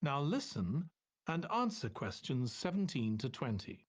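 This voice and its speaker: A man reading scripted test instructions as an exam announcer in a formal, measured manner. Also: mid-range voice, big pitch swings.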